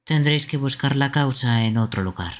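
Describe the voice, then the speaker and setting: A man's voice: mid-range voice, a little fast. A man co-streaming a live fan dub, reading game dialogue with casual asides. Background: game music.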